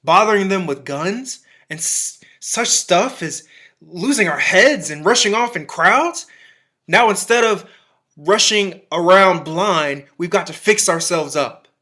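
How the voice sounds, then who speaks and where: A man's voice: sits high, lively intonation, unhurried, sharp tone, full character voice. An actor playing an embittered, zealous militiaman who survived an alien invasion, in a radio drama, speaking in emphatic, folksy, clipped phrases. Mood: grim, intense.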